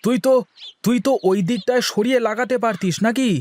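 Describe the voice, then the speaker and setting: A man's voice: high voice, lively intonation, normal speed. A man voicing a defiant, doting young village husband, the younger brother, in cartoon dialogue. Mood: defiant, indignant. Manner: argumentative, protective of his wife.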